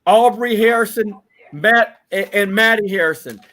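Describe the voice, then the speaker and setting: A man in his fifties, a guest in a casual interview, reminiscing and rattling off shout-outs to old friends. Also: sits high, moderate pace. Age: 50s. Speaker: podcast guest.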